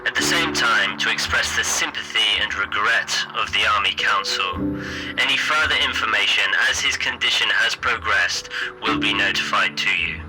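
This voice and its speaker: An actor playing a stiff, formal army official reading dispatches over wartime radio in a clipped, impersonal way. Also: male voice, on the low side, moderate pace, low-quality recording. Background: music.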